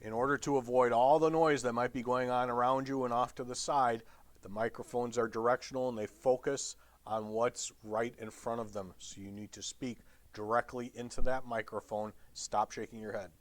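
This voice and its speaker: A man giving an instructional lecture as a trainer, blunt and direct with wry humor and emphatic pauses on key phrases. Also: mid-range voice, steady tone. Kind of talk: instructional lecture on radio procedure.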